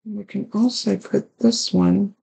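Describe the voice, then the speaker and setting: A woman with a raspy, hoarse voice hosting a card-making tutorial, chatting along as she works through each step. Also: medium pitch, fairly even intonation, unhurried, dark tone, low-quality recording. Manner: chatty, talks while working with her hands. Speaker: card-making channel host.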